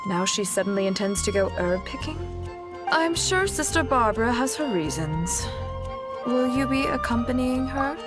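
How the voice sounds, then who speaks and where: A woman voicing a kindly senior church sister in an anime game, measured, formal and caring. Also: fairly high pitch, animated, close to an everyday voice. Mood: calm, motherly. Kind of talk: anime game character voice acting. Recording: music behind the voice. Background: game music.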